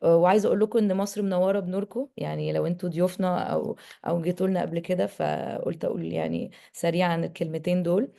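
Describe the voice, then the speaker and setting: A woman's voice: fairly low pitch, steady tone, rapid-fire, vocal fry, dark tone. A woman from Egypt, a native Arabic speaker with an Egyptian accent, introducing herself as a panelist. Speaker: panelist, country director.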